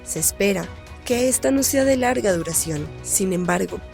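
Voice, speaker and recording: A woman's voice: medium pitch, expressive, moderate pace, gravelly, a little mellow, soft background music. A woman playing a radio news anchor delivering a formal, serious scripted war bulletin.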